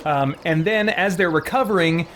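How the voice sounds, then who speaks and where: A man's voice: on the high side, normal speed, a bit bright. A man giving an informal, rambling explanation to a live stream chat, digressing as he goes. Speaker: game livestreamer.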